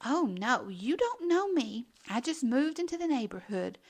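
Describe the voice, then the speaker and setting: A woman's voice: sits high, very animated, normal speed, pitched above the performer's own voice, full character voice. A woman voicing a pushy, mysterious little witch girl in a children's storybook, insistent and exclamatory. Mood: coy.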